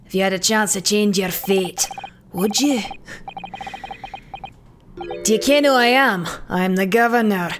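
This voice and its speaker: A woman voicing a gruff male prison governor from a video game, with a Scottish accent. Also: mid-range voice, measured pace, pauses often, faint music behind, close to an everyday voice. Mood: stern.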